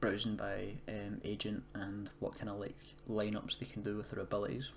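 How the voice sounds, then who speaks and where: A man's voice: on the low side, monotone, normal speed. A man presenting a casual screen-recorded tutorial, explaining in an unscripted, hesitant way. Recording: noisy home recording, keyboard typing.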